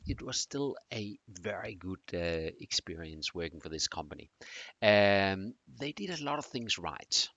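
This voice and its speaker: A middle-aged man from Denmark with a Danish accent, introducing a course in a halting way with many fillers. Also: low voice, sharp tone, no echo. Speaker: teacher.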